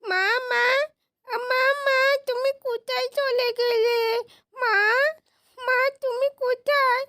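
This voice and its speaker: A woman's voice: very high-pitched, level tone, slow pace, pushed and bright, no room sound, clean studio sound, pitched above the performer's own voice, full character voice. A woman voicing a devoted, plucky little boy in a children's doll story, speaking in an earnest, pleading, childlike way. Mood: worried.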